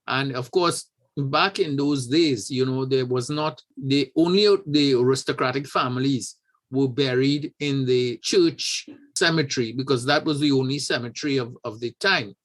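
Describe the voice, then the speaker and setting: A man from Trinidad and Tobago talks as an interview guest, spinning a family anecdote at an easy, unhurried pace. He often circles back and restarts his phrases. His voice sits at an ordinary middle pitch for a man. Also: a bit bright. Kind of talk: conversational storytelling.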